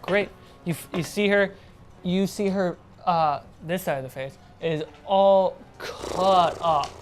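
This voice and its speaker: Low-voiced woman running a tabletop game. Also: slow pace, faint music behind. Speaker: game master. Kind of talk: narrating a tabletop role-playing game.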